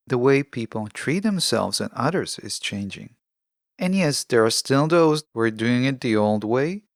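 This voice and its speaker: Gravelly-voiced man, close and dry. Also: mid-range voice, no echo. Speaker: podcast host. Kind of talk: reflective scripted solo podcast monologue.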